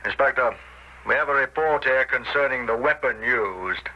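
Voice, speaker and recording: Male actor as courteous, official coroner in a 1970s radio mystery. Mid-pitched, probing, muffled lo-fi recording. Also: moderate pace, close to an everyday voice. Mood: formal. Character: formal, courteous coroner presiding at an inquest. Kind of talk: 1970s radio drama acting. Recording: dull lo-fi old radio recording.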